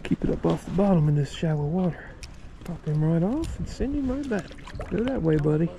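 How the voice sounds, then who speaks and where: A man chatting casually and excitedly outdoors while fishing, in a folksy, exclamatory way with mild swearing. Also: fairly high pitch, brisk, gravelly. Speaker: fishing vlogger. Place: outdoors at a trout stream. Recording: noisy outdoor recording, water and ambient noise.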